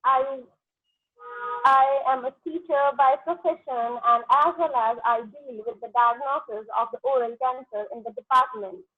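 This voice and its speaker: A woman's voice: high-pitched, steady tone, moderate pace, breathy edge, no room sound, soft background music. A woman in her thirties with an Indian English accent, a native Punjabi speaker, answering exam questions hesitantly with many fillers. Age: 30s. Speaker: exam candidate, dentist. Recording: tinny phone-like line.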